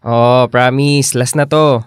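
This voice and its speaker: An actor playing a young, sweet, easygoing boyfriend in a radio drama, coaxing and earnest in casual conversational dialogue. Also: male voice, medium pitch, fairly even intonation, slow pace, smooth, no echo, clean studio sound, close to an everyday voice. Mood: earnest, bashful. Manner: casual, coaxing, hesitant stammers and chuckles.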